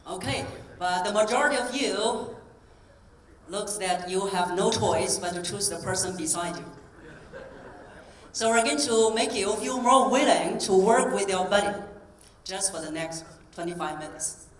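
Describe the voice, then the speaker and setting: A woman voice coach speaks on stage in a large hall, lively and coaxing as she drills the crowd. Her voice sits at a middle pitch, and it carries from some way off the mic. The room rings around her with faint ambience behind. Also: a little slow, far from the mic, a little hiss or hum. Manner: energetic, coaxing, drills the audience. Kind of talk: keynote talk with audience exercises.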